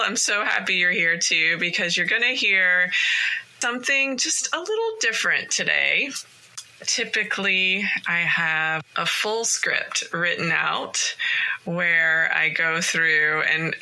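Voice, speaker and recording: A woman hosting her own podcast as a life coach, talking in a calm, gentle, encouraging way while recording in a closet at home. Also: on the low side, rough recording. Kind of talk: casual solo podcast monologue.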